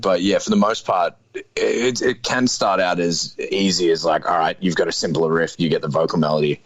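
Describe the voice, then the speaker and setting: A deep, low-voiced man in his thirties, talking as an interview guest. He is laid-back and hedges every point with fillers and "sort of". The sound is dry and close, with no room around it. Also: no room sound. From Australia. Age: 30s. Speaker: guest musician. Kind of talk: casual interview chat.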